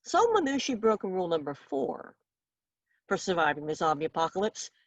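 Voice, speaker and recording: A woman reading her own short story aloud in an expressive, wry and dramatic storytelling manner. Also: lowish voice, a little fast, no echo.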